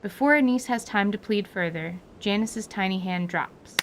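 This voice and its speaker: A woman's voice: medium pitch, normal speed, slight background noise. A woman reading scripted narration for a fantasy audio drama.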